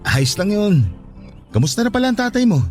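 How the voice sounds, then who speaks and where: A man's voice: high voice, very animated, fairly quick, darkish tone, faint music behind. A man playing a hardworking, protective older brother who works on a farm, speaking earnestly in a radio drama. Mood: casual, friendly. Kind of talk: Tagalog radio drama dialogue.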